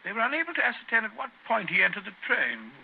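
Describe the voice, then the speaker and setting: An actor playing a genial middle-aged English doctor in an old-time radio drama, with a chatty, slightly fussy, hesitant delivery. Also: male voice, sits high, wildly swooping pitch, fast, creaky, heavily acted. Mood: recalling, puzzled. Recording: tinny, noisy old radio recording.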